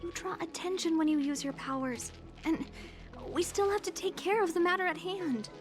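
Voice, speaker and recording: High-voiced, guarded young female attendant. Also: moderate pace, faint music behind. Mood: exasperated. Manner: chiding, distrustful, formal. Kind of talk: anime game voice acting.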